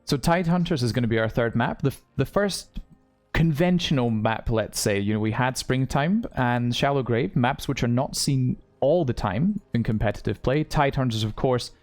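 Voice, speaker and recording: A man's voice: mid-range voice, normal speed, darkish tone, faint music behind. Easygoing male caster, mixed British-American accent. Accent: mix of British and American English. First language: English. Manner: easygoing, laughs often, analytical. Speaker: esports co-caster. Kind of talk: live game commentary.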